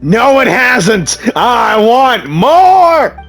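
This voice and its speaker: Man, game streamer, high voice, slow, playful, stumbling, faint music. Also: sing-song, a bit bright. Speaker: gaming streamer. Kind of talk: reading game choices and commenting.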